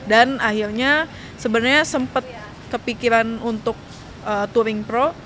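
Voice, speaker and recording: A woman's voice: fairly high pitch, steady tone, measured pace, a bit bright. A young adult woman with an Indonesian accent, chatting casually as an interview guest and acting out quoted dialogue as she tells her stories. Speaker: guest, professional golfer and coach.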